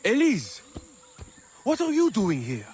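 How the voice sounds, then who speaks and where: High, animated, defiant chained labourer. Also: male voice, slow pace, slightly rough, heavily acted. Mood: startled, indignant. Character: stoic, defiant male labourer in chains, longing for freedom. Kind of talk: video game character acting.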